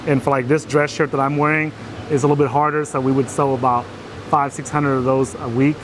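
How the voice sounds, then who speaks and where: A man's voice: medium pitch, flat delivery, a little fast, over music, low-quality recording. A man from Vietnam, a guest telling his life story in a casual interview, with many fillers and restarts.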